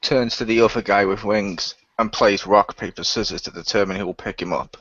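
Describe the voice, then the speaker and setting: Casting: male role-play player, low voice, improvised action narration, slightly muffled recording. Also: steady tone, a little fast, a bit bright, no echo. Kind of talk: improvised tabletop-style narration.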